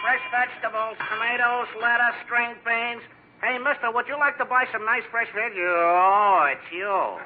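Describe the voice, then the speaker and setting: An American actor in a radio comedy playing an aggrieved street vegetable peddler with a complaining, slangy way of talking. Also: male voice, extremely high pitch, unhurried. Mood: pushy, aggrieved. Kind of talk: 1950s radio comedy acting. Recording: scratchy, tinny 1950s radio recording.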